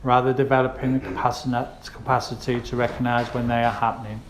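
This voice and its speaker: Male meditation guide, mid-pitched and gentle, unhurried phrasing with pauses, flat steady intonation, echoey recording. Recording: roomy echo with faint background noise.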